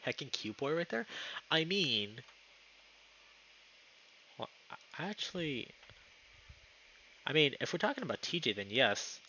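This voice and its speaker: A man's voice: fairly high pitch, big pitch swings, measured pace, long pauses, sharp tone, muffled recording, slight background noise. A young man live streaming, moving between casual chat and reading story narration aloud.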